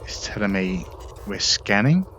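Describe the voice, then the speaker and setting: A man's voice: fairly low pitch, big pitch swings, a little slow, fairly bright tone. A man giving casual, explanatory commentary over his video game play. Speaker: gaming video creator. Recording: dull audio, faint game noise. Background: spaceship game ambience.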